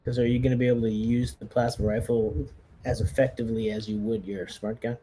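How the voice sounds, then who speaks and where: A man's voice: mid-range voice, little pitch movement, fairly quick, mellow, slight background noise, poor audio. A man chatting casually as a player in a tabletop roleplaying game, organising the squad.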